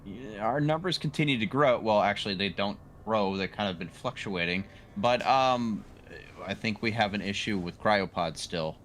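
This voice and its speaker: A man's voice: fairly low pitch, moderate pace, a bit bright. A man playing a tabletop game, chatting casually and hesitantly as he searches for words. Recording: clearly noisy tabletop session recording.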